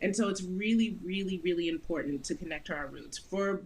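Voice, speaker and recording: A woman's voice: fairly low pitch, fairly quick, a little mellow, a little hiss or hum. A woman in her thirties from the United States, an actress talking earnestly in an interview and laughing often. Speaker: guest actress. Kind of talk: interview conversation.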